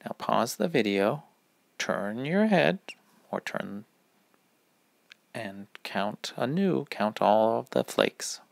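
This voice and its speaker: A man reading a rhyming children's picture book aloud in a deliberate, instructive manner. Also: fairly low pitch, animated, gravelly, no echo. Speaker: read-aloud reader.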